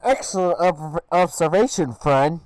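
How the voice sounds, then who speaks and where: A co-streamer cuts in with short, joking remarks during a live reading. The voice is smooth and clear, and the tone is casual. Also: medium pitch, darkish tone, rough recording. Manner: quick joking asides. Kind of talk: casual chat.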